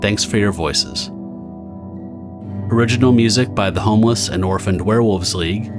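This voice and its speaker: Male narrator for pulp-style audio drama: very low register, scripted dramatic storytelling, prominent music bed behind.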